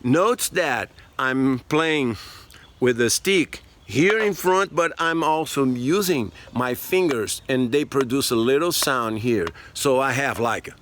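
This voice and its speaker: Slow-spoken man teaching percussion. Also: mid-range voice, background noise. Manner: slow, explanatory, counting beats aloud. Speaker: music teacher. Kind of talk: demonstrating percussion outdoors. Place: outdoors by a tree.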